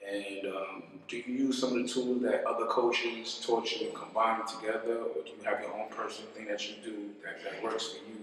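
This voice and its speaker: 男主持人，声音在房间里回荡，离麦克风较远. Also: male voice, fairly low pitch, little pitch movement, fairly quick, few pauses, a bit bright, recorded from a distance. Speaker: interviewer, show host. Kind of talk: casual documentary-style basketball interview. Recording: echoey room from afar, background noise.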